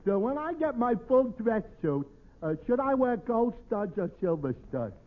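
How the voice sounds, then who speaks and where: High-voiced dopey simpleton. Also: male voice, sing-song, normal speed. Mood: dopey, earnest. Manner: dopey, hesitant, oblivious. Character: dim-witted, slow, guileless regular male barfly. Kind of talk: 1940s radio comedy acting. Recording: noisy old radio recording, narrow band.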